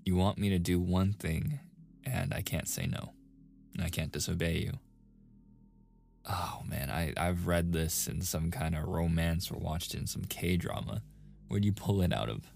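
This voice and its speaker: A man's voice: deep voice, animated, a little slow, creaky, a little mellow, exaggerated voice. A man playing a playful, easily flustered young gamer boyfriend in a casual, intimate ASMR roleplay. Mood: amused, unworried. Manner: casual, intimate, with chuckles and sighs.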